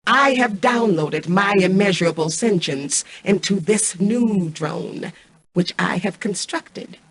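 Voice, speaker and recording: A woman's voice: medium pitch, slow, echoey room, distant mic, poor audio. A woman voicing a cold, imperious female mainframe AI in a video game, speaking formally and precisely, with a synthetic computer effect. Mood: grave, imperious. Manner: formal, precise, haughty machine-like announcements. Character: cold, imperious female mainframe AI, menacing antagonist turned uneasy ally. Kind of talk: scripted video game character lines.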